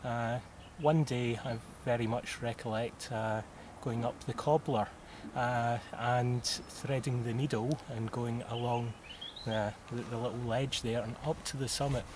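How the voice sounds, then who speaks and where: A Scottish man in his thirties from southwest Scotland, answering interview questions about mountaineering in an unhurried way, with many "uh" pauses. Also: mid-range voice, steady tone. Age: 30s. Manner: unhurried, with frequent "uh" pauses and occasional laughs. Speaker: mountaineer guest. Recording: clearly audible background noise.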